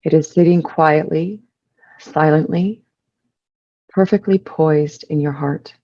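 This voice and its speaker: A woman leading a guided meditation, giving soothing instructions in measured short phrases with pauses between them. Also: sits low, fairly even intonation, warm tone, highs cut off.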